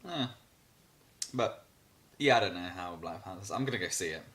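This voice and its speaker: A man's voice: low-pitched, expressive, normal speed, a bit bright. A young British man co-hosting a podcast in casual chat. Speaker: podcast co-host.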